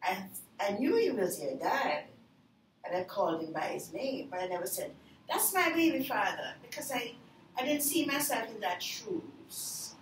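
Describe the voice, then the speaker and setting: An older Jamaican woman speaks in a measured, earnest way, explaining her choices at an unhurried pace. Her voice sits in a middle register and is picked up by a camera some distance away. The room is echoey, with a faint hiss underneath. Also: medium pitch, big pitch swings. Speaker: interviewee. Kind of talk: reflective personal storytelling. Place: sunlit room by glass doors. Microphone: far camera. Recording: roomy, far from the mic, faint noise.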